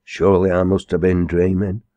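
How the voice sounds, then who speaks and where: Man reading as a solemn old priest, deep-voiced. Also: completely flat delivery, normal speed, warm tone, dry recording, natural voice. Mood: rueful puzzlement. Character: bewildered, pious old village priest.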